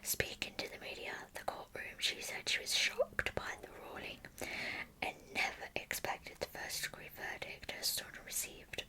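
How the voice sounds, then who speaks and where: A young adult woman whispers in a breathy, soft-spoken, informal way as a true crime ASMR storyteller, close to the microphone. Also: moderate pace, poor audio.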